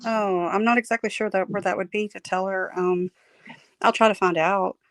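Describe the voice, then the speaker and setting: A woman's voice: medium pitch, fairly even intonation, dry recording. Woman with a tired, strained voice. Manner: laughs often, halting with many fillers. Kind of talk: casual chat.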